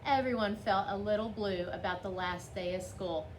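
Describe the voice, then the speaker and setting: A woman's voice: mid-range voice, normal speed, reverberant, low-quality recording. A woman reading a picture book aloud expressively, lifting her voice for the children's lines. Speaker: storybook reader. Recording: faint background noise.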